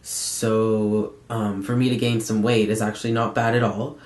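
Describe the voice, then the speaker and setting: Young male vlogger, lowish pitch, candid and halting conversational delivery, roomy echo. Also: flat delivery, a little mellow. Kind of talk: casual personal update vlog. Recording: echoey room sound.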